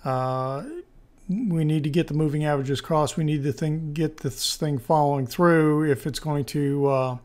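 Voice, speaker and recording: A man with a hoarse voice giving informal stock market commentary as host, thinking aloud in a halting, conversational way. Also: a little high, steady tone, darkish tone. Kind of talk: informal technical analysis commentary.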